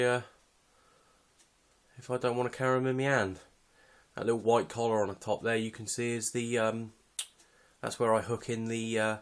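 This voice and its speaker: A British man with a fairly low voice talks through his outdoor gear off the cuff. He is understated and matter-of-fact, a little hesitant as he goes, like an informal hobby video presenter. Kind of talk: casual unscripted gear walkthrough.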